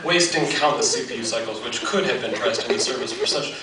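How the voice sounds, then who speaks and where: A man in his thirties reads a mock-scientific paper aloud in a deadpan, formally academic way. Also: mid-range voice, brisk, a crowd in the background. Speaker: researcher presenting a paper. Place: large dark auditorium, on stage. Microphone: podium gooseneck, captured by distant audience camera. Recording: echoey auditorium from far off, noisy.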